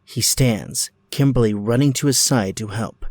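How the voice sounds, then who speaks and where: A man's voice: medium pitch, a little slow, a little mellow, dry recording. Dry-sounding male drama narrator.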